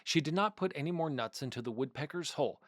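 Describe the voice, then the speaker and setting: A man's voice: a little high, animated, fast talker, no room sound. Man reading a children's storybook, dry close sound. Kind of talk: scripted narration, children's read-aloud.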